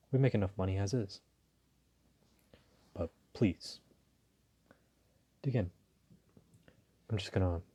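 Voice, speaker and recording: Mafia boss for intimate audio roleplay, played by a man: dark mellow tone, husky, slow, courteous, dominant and flirtatious. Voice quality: husky, low. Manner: slow, teasing, courteous, softly chuckling.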